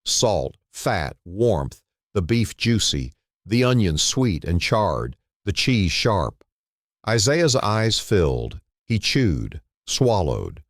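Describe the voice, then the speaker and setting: A man narrating a dramatic scripted story in a solemn manner, breaking it into short, halting phrases. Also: sits low, sing-song, no room sound, clean studio sound.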